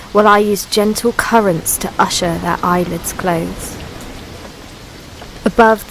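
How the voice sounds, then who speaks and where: Casting: woman as the ancient Sea, solemn storyteller, unhurried poetic delivery, mid pitch, rain and water behind. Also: noisy background. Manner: grave, poetic, deliberate. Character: the ancient Sea itself, solemn, brooding female narrator. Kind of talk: scripted dramatic narration in a radio play.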